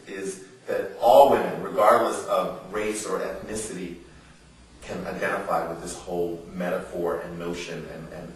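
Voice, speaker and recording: American male author at a live reading, earnest and emphatic, lowish voice, very echoey room, distant mic. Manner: earnest, emphatic, rhetorical questions. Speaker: author giving a book reading. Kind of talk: public reading mixed with informal talk to an audience. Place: indoor literary salon before an audience. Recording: roomy echoing live venue, distant mic, faint noise.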